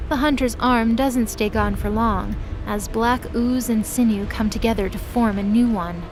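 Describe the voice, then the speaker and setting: High-pitched woman narrating an audio drama. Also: moderate pace, over music. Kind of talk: scripted dramatic story narration. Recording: clear background noise under voice.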